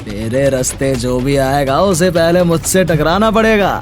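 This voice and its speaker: A man's voice: on the high side, unhurried, soft background music, close to an everyday voice. An actor playing a thuggish, boastful and menacing village villain, devoted to his lover, in a dramatized moral story. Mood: defensive bravado.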